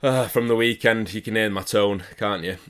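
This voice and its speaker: A man's voice: lowish voice, moderate pace, clean tone. A man hosting a podcast, steering the talk with easy questions. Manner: conversational, steers the discussion with questions. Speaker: podcast host. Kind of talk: casual chat.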